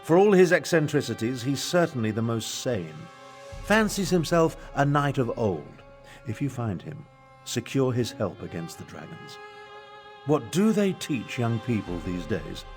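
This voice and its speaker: A man's voice: medium pitch, very animated, a little slow, darkish tone. An older man acting a pompous, haughty battle mage and imperial advisor in a video game, with theatrical delivery. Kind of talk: video game character dialogue. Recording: music behind the voice.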